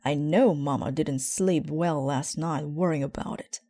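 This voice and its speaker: A woman plays a reassuring papa bear from a children's storybook. The delivery is reasonable and calm, with a mellow tone and dry sound. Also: low voice, measured pace, dry recording.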